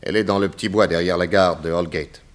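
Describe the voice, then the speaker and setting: An actor playing a bold, self-assured detective hero who narrates and acts in a 1960s French radio drama. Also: male voice, deep voice, fairly even intonation, quick pace, natural voice. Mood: indignant, cocky.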